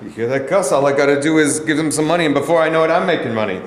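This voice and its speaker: A cocky, eager young boxer from Greece, read by a man, plain-spoken and quick to brag. His voice rings in an echoey rehearsal studio with a long tail. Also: a little high, slight background noise, natural voice. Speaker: actor in a staged reading. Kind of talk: staged reading.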